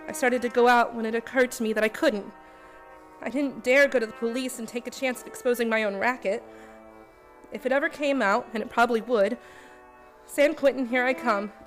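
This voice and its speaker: A woman's voice: fairly high pitch, a bit bright, close to an everyday voice. A woman playing a cunning young con artist who poses as a schoolgirl in a noir radio play, narrating and speaking in dialogue. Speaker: actor in a community radio play.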